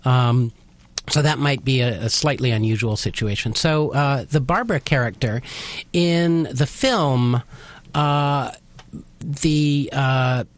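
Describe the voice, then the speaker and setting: A man with a mid-pitched voice speaks as a guest in a casual radio interview. He explains his creative work as a radio theater writer haltingly, pausing and feeling his way through each point. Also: no echo.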